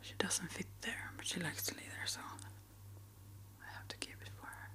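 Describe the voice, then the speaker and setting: Whispering woman, hesitant and gentle. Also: normal speed. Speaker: ASMR video creator. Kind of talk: ASMR whispered ramble. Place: small upstairs apartment.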